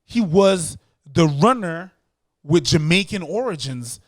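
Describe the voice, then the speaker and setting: A man's voice: fairly high pitch, slow pace, dry recording, studio quality. A middle-aged man who grew up speaking French, telling stories as a guest in a casual interview, with lots of stammered restarts. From Montreal, Canada. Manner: animated storyteller, stammering repeated restarts. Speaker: guest, street dancer.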